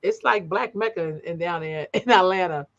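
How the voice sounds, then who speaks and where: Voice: mid-range voice, normal speed, muffled recording, crowd noise. A host interviewing a guest, encouraging them with frequent affirmations. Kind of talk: conversational interview.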